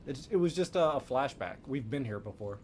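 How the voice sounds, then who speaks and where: A man's voice: medium pitch, lively intonation, brisk, darkish tone, slight background noise. A man livestreaming a video game with casual, chatty, offhand commentary and some mild swearing. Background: video game music and sound.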